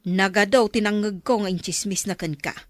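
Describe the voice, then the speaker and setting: A woman's voice: mid-range voice, steady tone, moderate pace, dry recording, lightly acted. Woman acting a concerned mother, dry close voice. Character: concerned mother counselling a quarrelling married couple. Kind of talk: Ilocano radio drama acting.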